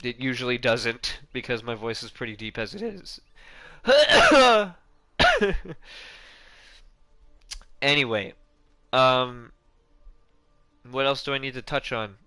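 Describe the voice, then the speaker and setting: Bright, crisp-voiced rambling gamer guy. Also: medium pitch, big pitch swings, takes it slowly, pauses often, no room sound. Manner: rambling, with frequent restarts and casual swearing. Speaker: gaming YouTuber. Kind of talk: casual video game commentary.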